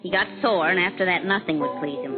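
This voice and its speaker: An actress as a fast-talking female reporter. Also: lowish voice, phone-quality sound, natural voice. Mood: teasing. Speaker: actress in a radio crime drama. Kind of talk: old-time radio drama acting. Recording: thin old radio recording, music behind.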